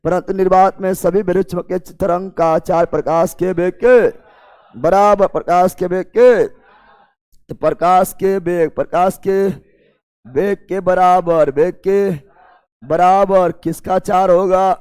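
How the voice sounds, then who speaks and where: A man with a Bihari accent lectures like a coaching teacher in a high, clean, resonant voice. He goes slowly, repeating key phrases as if dictating and drilling students with short questions. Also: steady tone, darkish tone, clean tone. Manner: informal, teasing address to students. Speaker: chemistry teacher for exam coaching.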